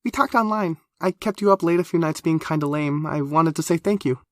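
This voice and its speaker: A woman's voice: low-pitched, brisk, no echo, clean studio sound, voice pushed up, natural voice. A man reading a story, voicing a bubbly, nervous young woman who gushes and trips over her words. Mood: excited, flustered. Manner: gushing, flustered, loud.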